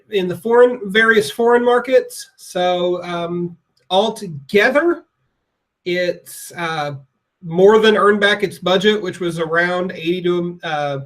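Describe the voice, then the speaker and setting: A man co-hosting a podcast and running through the news. He is upbeat and conversational and quick to get excited. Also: high-pitched. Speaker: podcast co-host reading the news. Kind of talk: casual podcast news rundown.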